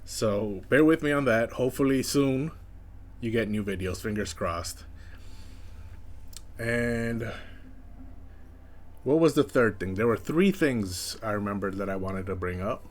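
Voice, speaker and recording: A young man with a slight lisp, chatting casually as a first-time streamer in a flat, monotone way with many fillers. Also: lowish voice, measured pace, pauses often. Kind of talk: casual chat.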